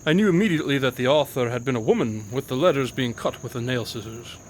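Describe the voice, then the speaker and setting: An actor playing a shrewd, composed detective in an audio drama, with formal, precise, theatrical diction. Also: male voice, medium pitch, animated, fast, a little hiss or hum, natural voice. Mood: composed, explaining. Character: shrewd, composed male detective, commanding and quick-witted.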